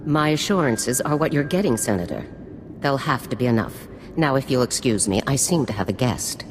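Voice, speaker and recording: Very deep-voiced, commanding female general. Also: expressive, normal speed. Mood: curt, formal. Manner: clipped, authoritative, formal. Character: stern, commanding female special forces general. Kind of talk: video game voice acting. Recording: long reverb with faint noise.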